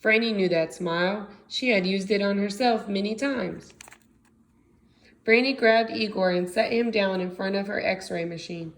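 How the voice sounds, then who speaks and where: American woman, elementary teacher, mid-pitched voice, expressive storybook read-aloud, emphatic storytelling. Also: measured pace, rough recording. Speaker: second grade teacher.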